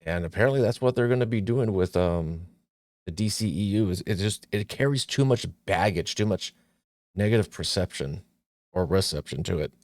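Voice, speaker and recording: A man's voice: deep voice, expressive, moderate pace, darkish tone, no room sound, studio quality. A man hosting his own movie talk show, chatting casually with jokes and plenty of restarts. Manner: joking, rambling, frequent restarts and fillers.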